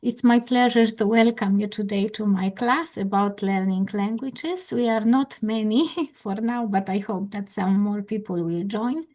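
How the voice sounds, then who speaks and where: A woman's voice: mid-range voice, unhurried, hardly any pauses, darkish tone. A woman hosting an online English class over a video call, friendly and encouraging, with some hesitant fillers.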